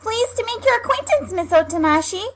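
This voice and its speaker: A woman playing a polite, sweet high-school girl, speaking earnestly in an audio drama. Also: lively intonation, a little fast, a bit bright, full character voice. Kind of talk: fan audio drama acting. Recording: clear background noise, clicks.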